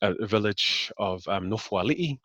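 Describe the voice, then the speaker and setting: Low-voiced New Zealand man in his fifties. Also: normal speed, a bit bright, dry recording. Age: 50s. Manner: hesitant, many fillers and restarts. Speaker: interview guest. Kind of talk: casual interview talk.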